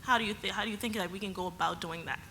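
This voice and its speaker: A young woman from the Bahamas asks a panel a question, politely and directly. Her voice is very bright and crisp, with a faint room hum behind it. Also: medium pitch, very fast. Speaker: student asking a question. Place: conference hall.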